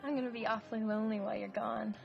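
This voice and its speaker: An actress playing a sweet, wistful wife who gives in easily, hesitant and pleading, in a comedy film. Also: female voice, fairly high pitch, little pitch movement, racing, gravelly, lo-fi sound. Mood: fond, wistful. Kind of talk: live-action comedy film acting. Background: music.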